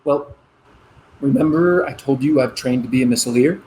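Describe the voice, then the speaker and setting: A man's voice: medium pitch, moderate pace, dark tone, slight background noise, natural voice, low-quality recording. A man playing an eager, placating adult son who is a military officer, in naturalistic play dialogue. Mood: eager, proud.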